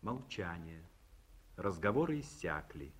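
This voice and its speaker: Narrator, male, husky, lively delivery, old radio studio recording. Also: sits low, measured pace. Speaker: narrator reading stage directions. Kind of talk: 1950s radio play narration.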